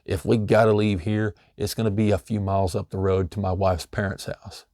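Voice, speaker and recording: A middle-aged man, slightly hoarse, giving a loose, conversational how-to talk full of "you know" fillers. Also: fairly low pitch, flat delivery, brisk, a little mellow, no room sound, studio quality. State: slightly hoarse, losing his voice. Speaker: homesteading channel host. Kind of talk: casual instructional talk.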